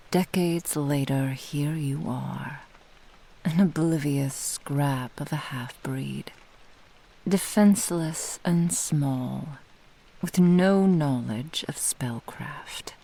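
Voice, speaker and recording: Woman voicing a husky, whispering, possessive fox witch. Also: deep voice, dark tone, exaggerated voice, rough recording. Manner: slow, teasing, purring menace. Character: possessive, menacing, seductive female fox-spirit witch. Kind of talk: whispered ASMR roleplay.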